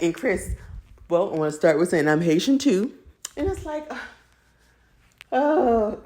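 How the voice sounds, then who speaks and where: Low-pitched woman giving candid commentary. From United States, raised in a Jamaican household. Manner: candid, opinionated, laughs easily while talking. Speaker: TV recap show host. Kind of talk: casual chatty commentary to viewers.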